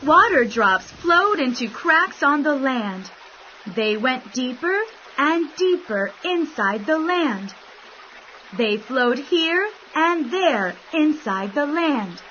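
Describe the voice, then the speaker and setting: Female bedtime-story narrator, bright and deliberate, slightly dull recording over a light music bed. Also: high-pitched, big pitch swings, slight background noise. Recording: dull sound, soft music behind.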